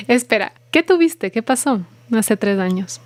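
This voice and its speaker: A woman's voice: on the high side, very animated, takes it slowly. A woman speaking as a podcast host, interviewing a guest in a conversational way.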